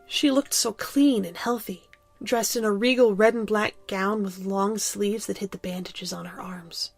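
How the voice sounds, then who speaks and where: Woman, narrator, scripted storytelling, medium pitch, faint music behind. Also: fairly even intonation, measured pace, vocal fry, dry recording.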